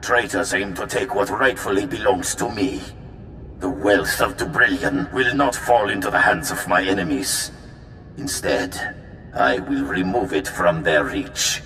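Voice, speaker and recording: Deep, echoing, pompous king. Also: lively intonation, a little slow, pushed and bright, slight background noise, exaggerated voice. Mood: imperious. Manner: pompous, declamatory. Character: proud, ruthless king issuing a deadly edict. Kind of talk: video game character acting. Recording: echoey hall with long reverb.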